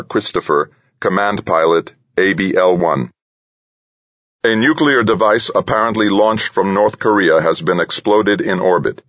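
A man's voice: deep voice, fairly bright tone, dry recording, dull audio, voice pushed up. A man voicing a computer-synthesized voice in an audiobook, dictating formal military orders in a mechanical drone.

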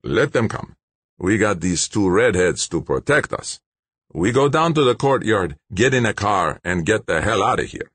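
A man voicing a rough, menacing gunman in a thriller audiobook, barking bossy threats. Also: lowish voice, sing-song, normal speed, fairly bright tone, no room sound, pitched above the performer's own voice, exaggerated voice. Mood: urgent.

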